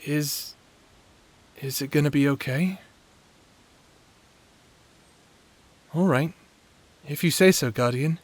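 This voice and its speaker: A man playing a shy, flustered young man in love, stammering and hesitant in a dramatic fantasy audio roleplay. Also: fairly high pitch. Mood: worried, gentle.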